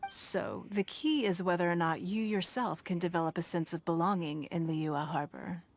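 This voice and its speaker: A woman playing a poised, shrewd and wealthy lady leader in a video game, speaking formally with measured authority. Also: fairly low pitch, slight background noise, lightly acted. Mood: advising.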